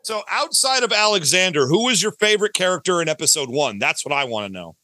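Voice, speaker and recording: A man's voice: sits high, sing-song, fast, bright, forceful tone, dry recording. A talkative man speaking casually and emphatically as a podcast guest, often repeating phrases to drive a point home. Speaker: podcast guest, audio drama creator. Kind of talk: casual interview chat.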